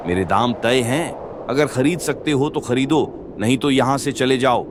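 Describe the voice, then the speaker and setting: An actor playing a greedy, stubborn village trader in a cartoon story, curt and dismissive. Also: male voice, medium pitch, unhurried, background noise, close to an everyday voice. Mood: cold, dismissive. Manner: curt, dismissive, later remorseful. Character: greedy middle-aged male village trader, cold and stubborn.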